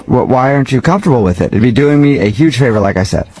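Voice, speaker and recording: A man's voice: mid-range voice, animated, fast talker, darkish tone, soft background music, lightly acted. A man playing a pushy, self-absorbed married boss, wheedling and insistent in a comedy prank call. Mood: eager, wheedling. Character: pushy, needy married boss with office-affair schemes.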